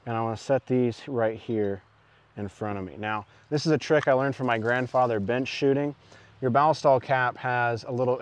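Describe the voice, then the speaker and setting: A man in his thirties giving an informal, self-effacing how-to demonstration outdoors, speaking into a clip-on mic. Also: mid-range voice. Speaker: muzzleloading channel host. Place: outdoors in woods. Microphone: clip-on lapel mic.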